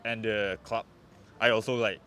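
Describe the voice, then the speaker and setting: A man's voice: on the low side, little pitch movement, moderate pace, fairly bright tone. A man in his twenties with a Malaysian accent, a student chatting casually in an interview. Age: 20s. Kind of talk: casual street interview answers. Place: outdoors on a campus. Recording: faint outdoor urban background noise. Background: faint outdoor noise.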